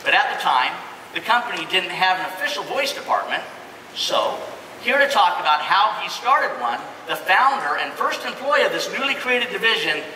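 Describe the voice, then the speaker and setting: A man's voice: sits high, very animated, moderate pace, pushed and bright. A middle-aged man giving a prepared presentation as host on a large stage. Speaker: presenter, company executive. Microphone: microphone through hall PA. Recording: echoey hall from far off, crowd, noise.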